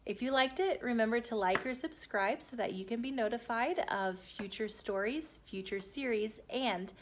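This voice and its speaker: A woman hosting storytime, reading a children's book aloud in a measured, explanatory way. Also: a little high, lively intonation, slight background noise. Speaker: storytime host.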